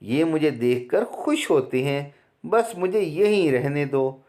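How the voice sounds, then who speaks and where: A man voicing an innocent, gentle young female swan who pleads earnestly and plaintively in a children's fairy tale. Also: fairly high pitch, expressive, slow pace. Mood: gentle concern.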